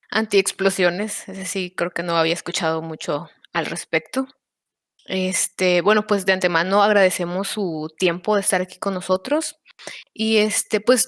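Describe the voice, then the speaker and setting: A woman's voice: mid-range voice, dry recording, studio quality. A woman with a Mexican accent hosting a panel podcast in a semi-formal, somewhat hesitant way with frequent filler words. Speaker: podcast host.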